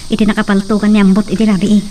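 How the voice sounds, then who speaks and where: A woman's voice: medium pitch, little pitch movement, very fast, mellow, distant mic, a little hiss or hum, close to an everyday voice. A woman playing an anxious but determined young daughter in an Ilocano drama, with a Filipino Ilocano accent. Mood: worried, urgent. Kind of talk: Ilocano radio-style drama acting.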